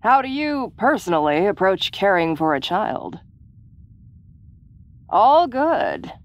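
Woman as alien female seeking a mate, clinical, deliberate delivery, mid-range voice, audio roleplay. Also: mid-range voice. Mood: clinical, seductive. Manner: businesslike, deliberate, deadpan.

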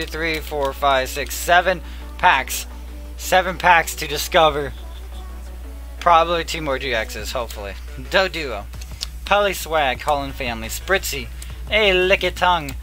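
A young man chatting casually while opening card packs, murmuring card names and getting excited now and then, his voice going hoarse. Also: a little high. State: losing his voice. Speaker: card pack opener. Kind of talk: casual pack-opening commentary. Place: small room at a table. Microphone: headset.

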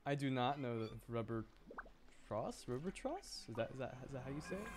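A man's voice: mid-range voice, big pitch swings, brisk, background noise. A man chatting casually on a game livestream, rambling and often repeating his words as he reacts to viewers. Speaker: game streamer.

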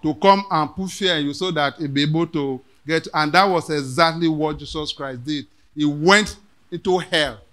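A man's voice: a little high, a bit bright. A middle-aged man preaching a sermon at a podium in a church hall, quoting scripture and asking the congregation rhetorical questions. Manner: emphatic, quotes scripture in King James wording, asks rhetorical questions. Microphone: podium mic.

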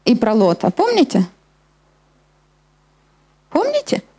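A woman's voice: a little high, wildly swooping pitch, slow pace, warm tone, husky. A middle-aged woman preaching a sermon in an explanatory way, addressing her listeners, speaking into a handheld microphone on a church stage. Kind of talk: sermon with scripture reading. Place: church hall stage at a lectern, indoors.

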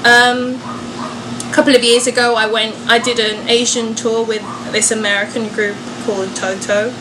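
Chatty young woman, higher-pitched voice. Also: flat delivery, normal speed, noisy background. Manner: chatty, self-joking. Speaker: vlogger and singer. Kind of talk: casual chatty question-and-answer vlog to viewers.

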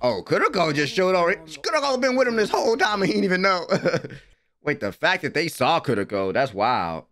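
Young male reactor, high and swooping voice, gravelly edges, slangy hype. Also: fairly quick. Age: young adult. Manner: animated, slangy, hyped exclamations. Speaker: anime reaction host. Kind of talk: excited live reaction commentary. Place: small room at home, indoors. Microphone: desk microphone, close.

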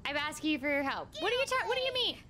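A young woman chatting casually and brightly animated while livestreaming a game. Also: high voice, rapid-fire, a little hiss or hum. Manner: bubbly, animated, giggly. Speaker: game streamer. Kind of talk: casual livestream gameplay chat. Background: game music.

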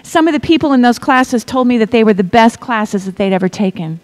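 A middle-aged woman preaching a sermon, reading scripture in a measured way and dropping to a whisper for effect. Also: fairly high pitch, lively intonation. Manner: slow, deliberate delivery with pauses and soft whispered stretches; asks the congregation to repeat lines.

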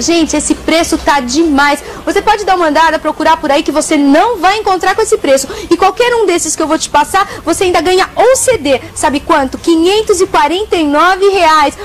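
A woman, giving a chatty, emphatic TV shopping pitch in a shop. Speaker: TV shopping presenter. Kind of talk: chatty promotional sales pitch. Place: video game shop, indoors.

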